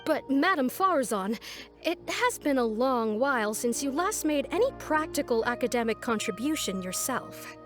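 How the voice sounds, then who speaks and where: A woman's voice: sits high, lively intonation, normal speed. A woman playing a firm, polite academy official in a video game, formal and tactful while mildly exasperated. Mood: tactful, reproving. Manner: formal, reproving but tactful. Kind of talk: scripted video game dialogue. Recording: music behind the voice.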